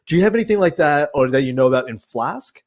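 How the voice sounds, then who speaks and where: Meetup host, male; a bit high-pitched, casual questioner. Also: animated, quick pace. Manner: casual, heavy on "like" fillers. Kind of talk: hosting a Q&A.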